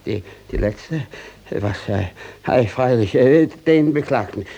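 Creaky, blustering old village judge. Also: male voice, medium pitch, expressive, slow, warm tone, full character voice. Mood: flustered, evasive. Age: older. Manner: hesitant stammering broken by gruff barking. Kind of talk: classic stage-style radio drama acting.

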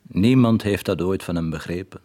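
An actor playing a sombre villager who narrates as part of a chorus in a Flemish radio drama. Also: male voice, deep voice, moderate pace, dark tone, close to an everyday voice. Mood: sombre, musing.

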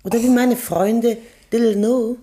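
A woman's voice: fairly high pitch, steady tone, a little slow, mellow, husky. A woman playing a playful, fashion-loving gangster's moll in a German radio play, coquettish and teasing. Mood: playful, flirtatious.

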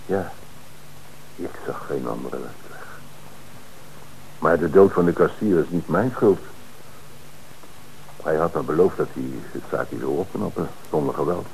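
Deep-voiced, evasive bank director who stammers when cornered, old radio play with light hiss. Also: male voice, lively intonation, a little slow, vocal fry, long pauses, a little mellow, full character voice, low-quality recording. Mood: confessing. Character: flustered middle-aged male bank director caught lying. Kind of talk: radio drama acting.

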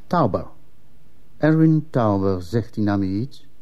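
Dark-voiced, stern police commissioner. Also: male voice, on the low side, lively intonation. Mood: stern, pressing. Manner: formal, measured interrogation. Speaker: actor in a radio play. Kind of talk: Dutch-language radio drama acting.

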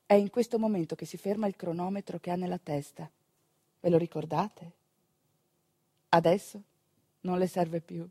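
A woman's voice: lowish voice, steady tone, moderate pace, long pauses, warm tone, breathy edge, no room sound. A woman telling a theatrical story, with conversational asides to her listeners. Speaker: stage actress narrating a monologue.

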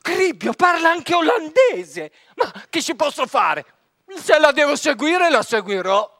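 High-pitched cheeky clown servant. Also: female voice, big pitch swings, a little slow, a bit bright, no room sound, clean studio sound, exaggerated voice. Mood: baffled. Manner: clownish, blustering, exclamatory, word-mangling. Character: cheeky, cowardly, hungry peasant clown servant. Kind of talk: broadcast stage comedy acting.

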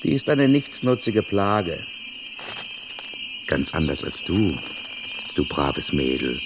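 A man's voice: sits low, sing-song, slow pace, noisy background, exaggerated voice. An actor in a German radio drama playing a lecherous married man who coaxes and presses a girl. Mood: coaxing, patronising.

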